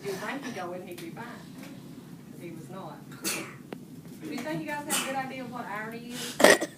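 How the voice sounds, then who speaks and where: A woman's voice: a little high, animated, a little fast. A woman reading aloud and teaching a class, often checking in with questions, recorded on a phone across a classroom. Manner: checks in with listeners using frequent questions. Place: large classroom, indoors. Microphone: phone camera from across the room. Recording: distant, echoey classroom phone recording with heavy noise.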